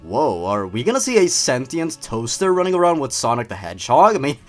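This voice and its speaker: Male comedy narrator, theatrical and mocking, hugely animated mid-range delivery, faint music underneath. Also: medium pitch, a little fast. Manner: theatrical, mocking, exaggerated emphasis. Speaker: host of a story-reading comedy show. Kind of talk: comedic narration and commentary on a horror story.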